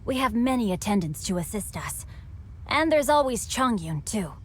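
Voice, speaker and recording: A woman's voice: mid-range voice, sing-song, moderate pace, breathy edge. Young eloquent noble swordsman. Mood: teasing. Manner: eloquent, formal and teasing. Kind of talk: game cutscene voice acting.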